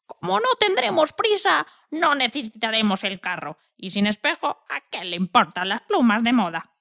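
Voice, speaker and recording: Woman acting a very high, bright, bouncy hen. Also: very animated, normal speed, creaky, exaggerated voice. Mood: carefree. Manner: encouraging, theatrical. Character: cheerful, reassuring female hen friend. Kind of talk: children's audio story acting.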